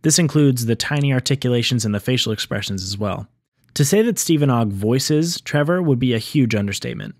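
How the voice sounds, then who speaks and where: A man delivers scripted explainer narration as a video host. His pitch is mid-range for a man. The sound is dry, with no room to it. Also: expressive, fairly quick, darkish tone, no room sound. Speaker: video essay host on game sound design.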